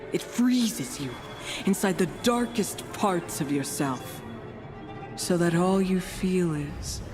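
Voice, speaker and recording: A dramatic mystic woman from a fantasy video game, speaking slowly and portentously with a wistful, playful streak. Her theatrical narration drifts between mystery and chatty asides, with music playing clearly behind her. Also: on the low side.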